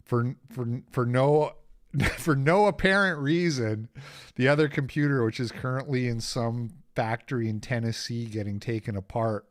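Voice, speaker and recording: A man with a raspy voice hosting a casual livestream, rambling, sarcastic and quick to get irritated. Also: medium pitch. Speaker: host.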